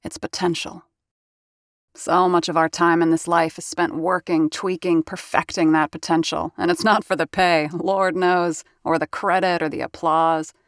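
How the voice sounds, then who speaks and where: A woman plays a sharp-tongued 1930s radio actor and sound effects artist in an audio drama. Her lowish voice carries a dry, sardonic edge as she narrates in character. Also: flat delivery, normal speed, dry recording, natural voice. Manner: wry, sardonic.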